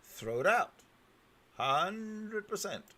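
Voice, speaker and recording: A man hosting a talk show, commenting on a clip and jumping in with emphatic, sometimes halting remarks. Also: sits high. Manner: animated, emphatic, swings loud and soft. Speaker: show host. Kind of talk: commenting over a played clip.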